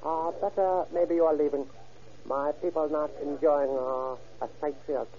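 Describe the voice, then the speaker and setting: An actor playing a wary, evasive shopkeeper who speaks halting, broken English in a polite but guarded way, in a 1930s radio drama. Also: male voice, a little high, fairly even intonation, natural voice. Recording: muffled old 1930s radio recording, background murmur.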